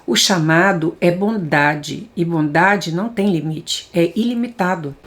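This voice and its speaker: Brazilian woman reading aloud, lowish voice. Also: measured pace. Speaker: audiobook reader.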